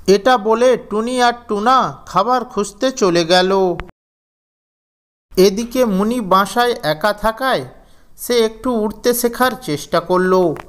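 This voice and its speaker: A man's voice: high voice, slow pace, fairly bright tone, clear, resonant tone. A man narrating a scripted children's fairy tale as storyteller.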